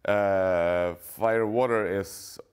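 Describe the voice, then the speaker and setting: Hesitant man, lowish voice. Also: steady tone, slow pace, clean tone. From Sweden. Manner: hesitant, searching for words. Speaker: choreographer, interview guest. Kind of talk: casual interview answers. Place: indoor room with brick wall.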